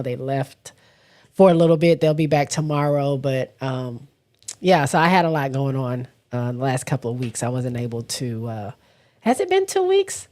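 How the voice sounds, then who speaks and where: A woman with a cold hosting a casual livestream, her voice raspy and stuffy, talking haltingly and stopping often to clear her throat. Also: rumbling low, a little mellow. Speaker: livestream host. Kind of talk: casual livestream chat, reading viewer comments.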